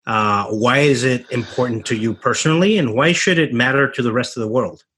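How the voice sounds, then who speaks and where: A man hosting an interview, introducing his guest in a measured way with frequent fillers. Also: mid-range voice, fairly bright tone, slightly rough. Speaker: interview host.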